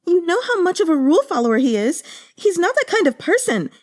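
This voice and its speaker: A woman voicing an indignant, gossipy young maidservant who talks in rapid, stammering outbursts. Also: extremely high pitch, sing-song, darkish tone, no echo, clean studio sound, pitched above the performer's own voice. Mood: insistent.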